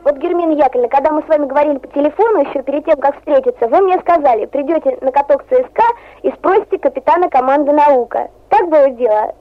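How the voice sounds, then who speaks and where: A high-voiced woman journalist asks her questions briskly and politely, moving along at a quick pace. The recording sounds muffled and lo-fi, like old radio. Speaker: journalist interviewer. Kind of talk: radio interview.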